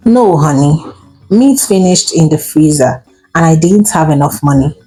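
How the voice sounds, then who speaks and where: Storyteller as betrayed wife, woman, Nigerian accent, dry and controlled, scornful, husky, folktale dialogue. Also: deep voice, animated, normal speed, warm tone, soft background music, low-quality recording. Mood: feigned calm.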